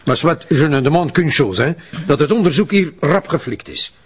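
A man's voice: a little high, expressive, normal speed, husky, no echo. An actor playing a pompous, bossy, wealthy old man in a radio drama, with a Flemish accent and French phrases dropped in. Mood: haughty, irritated. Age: older.

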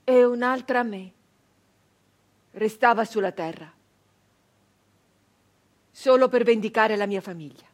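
An actress playing a grieving, traumatized 19th-century peasant woman in a theatrical radio monologue, halting and intense, with breathy speech that can sink toward a whisper. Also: on the high side. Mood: anguished, vengeful. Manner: halting, intense, repeating phrases obsessively.

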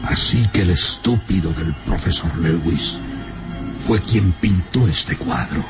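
A menacing, gaunt widower who rules a gloomy castle in a radio serial. He speaks very slowly and theatrically in a low, deep voice, brooding and jealous. Music plays clearly behind him. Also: lively intonation, heavily acted. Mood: jealous, furious. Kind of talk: old radio drama acting.

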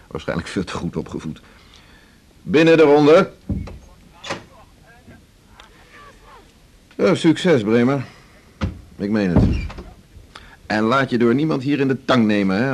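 Senior doctor and mentor, radio drama, played by a man: low-pitched, brusque, teasing, middle-aged. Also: close to an everyday voice. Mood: brisk, fatherly. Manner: brusque, wry, bossy. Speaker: actor. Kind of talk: 1980s Dutch radio drama acting.

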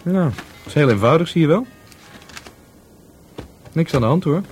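A man's voice: fairly high pitch, lively intonation, normal speed, a little mellow, faint music behind, natural voice. A man playing a cagey, cheeky market trader in a Dutch radio play, breezy, slangy and evasive.

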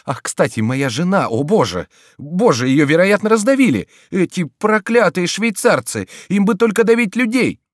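Man doing a timid little townsman, shrill and high, fussy, panicky, dry audiobook sound. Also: big pitch swings, normal speed, hardly any pauses, dry recording. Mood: fretful.

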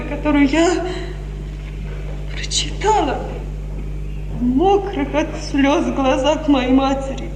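An actress playing an anguished, proud young daughter in a 1950s Soviet radio tragedy. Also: female voice, high-pitched. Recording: noisy, echoey 1950s radio recording.

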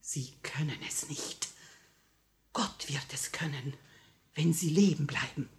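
Mournful devout noblewoman, 1950 radio drama. Deep register, measured, insistent, grave. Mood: solemn resolve. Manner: solemn, pleading, drawn-out delivery. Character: grieving, pious grand duchess, solemn and insistent. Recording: vintage 1950 radio recording.

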